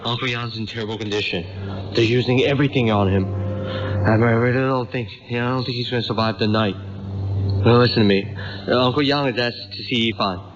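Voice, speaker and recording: A man's voice: medium pitch, fairly quick, lightly acted. An actor playing an anxious, hesitant married son who stammers as he soothes his family in a radio drama. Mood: urgent, secretive. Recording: muffled, noisy radio capture.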